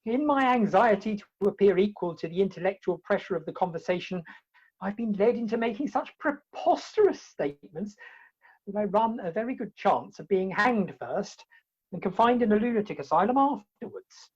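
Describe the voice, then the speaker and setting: Woman as indignant theatrical hero, wordy comic period role, animated and husky, muffled online recording. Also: mid-range voice, moderate pace. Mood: pleading. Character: indignant, exasperated comic hero pleading his case. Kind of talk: comic Victorian stage play acting. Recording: dull, lo-fi video-call audio.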